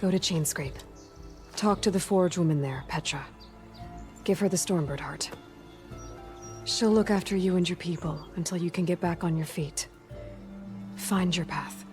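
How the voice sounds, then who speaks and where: A woman voices a capable young hunter, steady and compassionate. Her voice is lowish and even, with game music under the scene. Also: normal speed, pauses often, natural voice. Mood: gentle. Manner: calm, steady. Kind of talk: video game cutscene acting.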